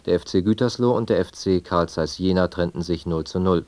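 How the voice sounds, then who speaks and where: A man with a low voice reads a radio bulletin in standard German from Germany. His delivery is formal and measured, recorded close and dry without room sound. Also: darkish tone, no echo. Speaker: radio newsreader. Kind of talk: scripted news and weather bulletin.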